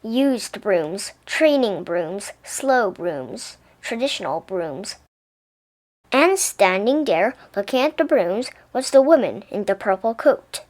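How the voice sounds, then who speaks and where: A woman reading a children's picture book aloud as an expressive narrator. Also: mid-range voice, slow pace. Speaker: storybook reader.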